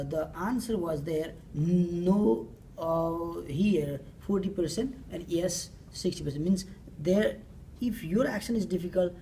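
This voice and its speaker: A woman with a Nepali accent presenting survey results, speaking haltingly and often rephrasing her questions. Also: fairly low pitch, warm tone. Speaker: student presenter. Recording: distant mic with faint room noise.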